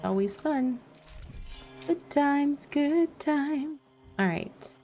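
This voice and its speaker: A woman's creaky, slow-paced voice chats casually as she hosts a game stream. She sounds playful and gently self-deprecating, with music playing behind her. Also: high voice, animated. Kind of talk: casual livestream chat and gameplay commentary. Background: background music.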